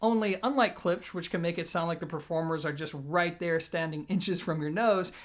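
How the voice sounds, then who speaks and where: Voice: medium pitch, moderate pace. A video host presenting an audio gear review, explaining things informally and directly to viewers. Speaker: product reviewer and show host.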